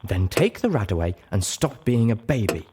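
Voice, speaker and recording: A man's voice: on the low side, very animated, moderate pace, noisy background. A man voicing a female pony medic with a snappy, exasperated, scolding manner.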